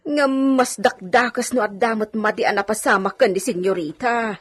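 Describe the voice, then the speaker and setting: A woman's voice: fairly high pitch, moderate pace, slight background noise, lightly acted. A woman playing an anxious, bossy household servant in a radio drama, speaking urgently with exclamations.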